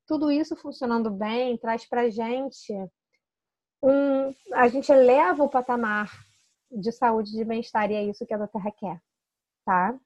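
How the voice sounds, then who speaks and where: A woman's voice: on the high side, unhurried, darkish tone, no room sound, lo-fi sound. A Brazilian woman consultant explaining how to use products, chatty and persuasive, often adding tag questions and restarting sentences. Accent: Brazilian Portuguese. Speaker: essential oils consultant teaching product use. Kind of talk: informal explanatory talk to camera.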